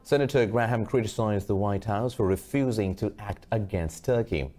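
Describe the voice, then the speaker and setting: A man reading a scripted news bulletin as anchor, formal and measured. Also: on the low side, warm tone.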